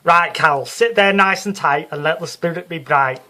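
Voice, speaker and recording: A man voicing a brash, bossy female stage psychic from a storybook, with a Liverpool accent and loud theatrical patter. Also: on the high side, moderate pace, voice pushed up. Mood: bossy, showy.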